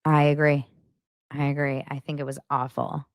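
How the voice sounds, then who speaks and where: Brief: a gossiping woman, a little low, animated storyteller, dry close sound. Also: normal speed, no echo. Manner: animated storyteller who acts out quotes. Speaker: podcast guest and YouTube show host. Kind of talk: casual gossip chat.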